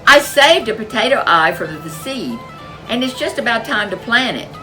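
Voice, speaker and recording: A woman's voice: fairly high pitch, big pitch swings, normal speed, pushed and bright, faint music behind, pitched above the performer's own voice, poor audio. A woman voicing a boastful, lazy farmer in a storybook folk tale. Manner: proud, bragging.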